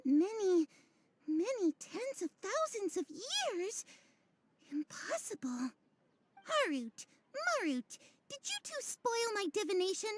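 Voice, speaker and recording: A woman's voice: very high voice, big pitch swings, heavily acted. A woman voicing a theatrical female fortune-teller in a video game, grandiose and pompous, with archaic phrasing. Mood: baffled, flustered. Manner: archaic, pompous, drawn-out delivery. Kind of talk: animated video game voice acting. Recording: background music under the voice.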